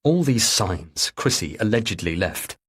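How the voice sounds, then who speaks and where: Guy narrating a thriller audiobook, lowish husky voice, dramatic storytelling. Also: big pitch swings, measured pace.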